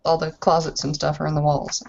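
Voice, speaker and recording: A woman's voice: deep voice, monotone, brisk, creaky, a little mellow, highs cut off, voice pushed down. Lady voicing curious young newcomer bride in an rpg, hesitant n polite, faint noise on the mic. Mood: puzzled. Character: naive, curious young Bavarian bride, fish out of water.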